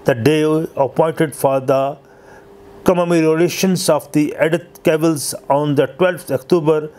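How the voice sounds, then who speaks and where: A man reading a scripted history narration as host, in a halting, stumbling way. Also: fairly high pitch, steady tone, a little mellow.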